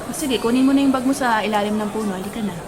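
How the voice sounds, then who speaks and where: A woman's voice: fairly high pitch, racing, crowd noise, close to an everyday voice. A woman with a Filipino accent playing a caring, orderly schoolteacher in a radio drama, speaking in an instructive way. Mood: gentle, concerned. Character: caring, orderly female schoolteacher of young children. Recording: clearly noisy background ambience.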